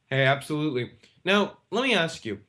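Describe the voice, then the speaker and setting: A man's voice: a little high, sing-song, brisk, sharp tone. A young man hosting an interview in a casual, conversational style. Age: young adult. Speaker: interviewer, host.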